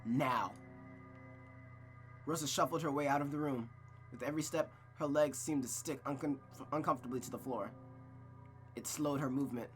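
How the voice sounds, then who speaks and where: A man's voice: mid-range voice, moderate pace, long pauses, faint music behind. A man streaming a visual novel, moving between narrated reading and casual, slangy, emphatic talk. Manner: slangy, exclamatory, emphatic repetition. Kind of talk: narrated reading and casual, profane recap chat.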